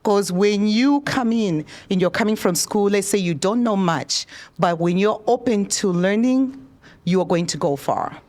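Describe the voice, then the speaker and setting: A woman's voice: mid-range voice, animated, measured pace. Encouraging woman from Zimbabwe. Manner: warm, encouraging, chatty with restarts. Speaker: spa owner and esthetician on a panel. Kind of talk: panel talk to students. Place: event room. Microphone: handheld microphone.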